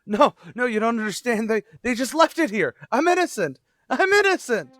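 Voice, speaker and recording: A man acting in an old-time radio drama as a desperate, earnest father looking for work, speaking in a halting, pleading way. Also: extremely high pitch, very animated, a bit bright, exaggerated voice. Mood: panicked. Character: desperate, earnest, down-on-his-luck young father seeking work.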